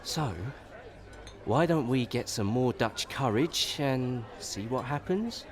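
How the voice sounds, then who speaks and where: Tipsy young man, sulky, mid-range voice, very animated intonation, radio soap. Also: mid-range voice, takes it slowly, some chatter behind. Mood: tipsy, self-blaming.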